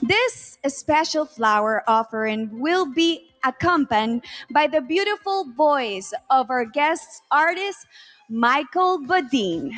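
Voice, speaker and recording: A woman co-hosting a live pageant, speaking English as an in a deliberate, encouraging way. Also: sits high, animated, a bit bright, faint music behind. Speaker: pageant co-host. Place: large arena stage.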